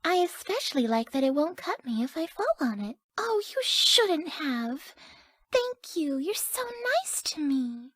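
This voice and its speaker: Sweet sleepy game girlfriend, young woman, high airy voice, unhurried, naive, gentle, scatterbrained. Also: very animated, no echo, studio quality, full character voice. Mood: happy, affectionate. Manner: soft, airy, gentle, often dropping to breathy near-whispers. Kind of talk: video game character voice lines.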